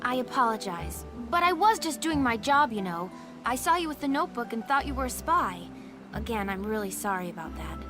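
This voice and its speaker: High, animated young watchwoman. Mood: apologetic. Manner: brisk, commanding, teasing. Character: feisty, dutiful young female town watch guard. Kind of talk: animated video game voice acting. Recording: loud music behind the voice. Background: music.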